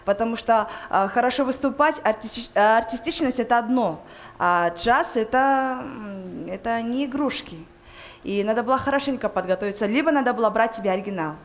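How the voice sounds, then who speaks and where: A woman giving a talent show critique as a judge, with hesitant, deliberate phrasing. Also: on the high side. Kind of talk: spoken critique.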